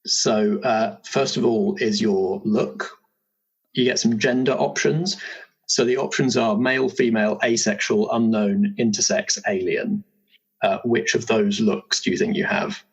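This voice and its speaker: A man's voice: fairly low pitch, fairly even intonation, unhurried, slightly rough, highs cut off. A man hosting a tabletop character creation session as game master, guiding it with casual questions and explanations.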